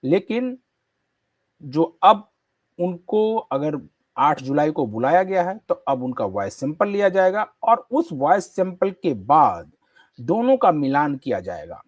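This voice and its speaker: Animated high-pitched male news host. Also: unhurried, dry recording. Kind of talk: news commentary and panel questioning.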